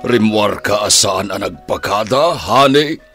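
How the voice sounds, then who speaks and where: An actor playing a suspicious, pestering husband in a radio drama. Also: male voice, on the low side, a little slow, over music, close to an everyday voice.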